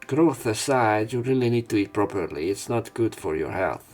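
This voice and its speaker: A man's voice: on the low side, expressive, normal speed, darkish tone. A man hosting a let's play, reading lines aloud and commenting casually with informal, wry asides. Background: game music.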